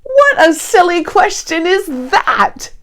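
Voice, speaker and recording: A woman's voice: very high voice, big pitch swings, slow, fairly bright tone, pitched above the performer's own voice, heavily acted. A woman voicing a wide-eyed, imaginative young child telling a storybook adventure. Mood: amazed. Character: young child narrator full of wonder and imagination.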